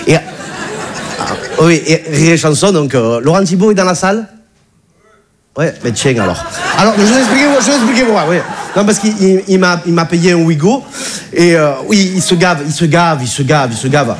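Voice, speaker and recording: A man with a southern French accent does stand-up comedy, chatty and meandering. His voice sits a bit high. The live club recording is full of crowd reaction and background noise. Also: animated, moderate pace. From Bouches-du-Rhône, southern France. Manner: chatty, rambling, repeats himself. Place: comedy club stage before a live audience.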